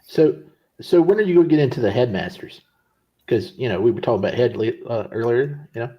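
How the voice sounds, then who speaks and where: A man on a panel show chatting jokily and bluntly, halting and repeating his words. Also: mid-range voice, lively intonation, muffled recording. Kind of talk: casual joking chat.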